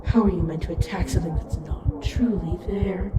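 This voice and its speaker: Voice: mid-range voice, normal speed, natural voice. An actor playing a taunting, cruelly curious disembodied supernatural being in an audio roleplay, speaking in a mocking, theatrical way that savors each word. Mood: eerie teasing. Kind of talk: audio roleplay, addressed to listener. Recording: echoey, distant, with humming noise.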